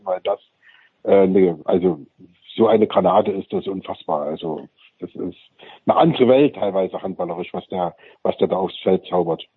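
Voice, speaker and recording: A man with a raspy, somewhat husky voice gushes about players in a loose, rambling way. His voice comes through a thin, phone-like line with no room sound at all. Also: fairly low pitch, no echo. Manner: enthusiastic, rambling praise with fillers. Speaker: sports panel guest. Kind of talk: casual sports talk.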